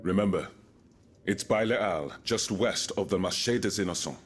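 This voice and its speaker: A man's voice: low voice, moderate pace, slight background noise, lightly acted. A man playing a proud, earnest, wealthy colonial reformer in a period game drama, speaking formally and eloquently, with gravity. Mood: solemn, explanatory.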